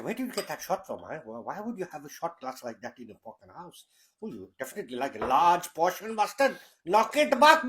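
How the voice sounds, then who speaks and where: A man's voice: sits high, background noise. A man with a Yorkshire accent hosting a crude, jokey home recipe demo, swearing freely as he talks through each step in his kitchen. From Yorkshire, England. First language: Indian heritage. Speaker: cooking show host.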